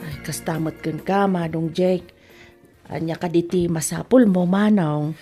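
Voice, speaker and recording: A woman's voice: on the low side, fairly even intonation, a little slow, over music, close to an everyday voice. A woman with an Ilocano Filipino accent playing a whiny, lazy, greedy younger sibling in a comedy drama. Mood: cheeky, demanding.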